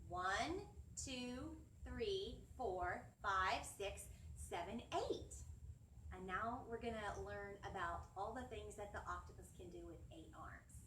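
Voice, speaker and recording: A woman in her thirties presenting a children's storytime, talking in an animated, singsong way and putting questions to young listeners, recorded indoors on a camera mic at some distance. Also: mid-range voice, slow, a little hiss or hum. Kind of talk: children's storytime teaching, addressing young viewers. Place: indoor library children's area, seated.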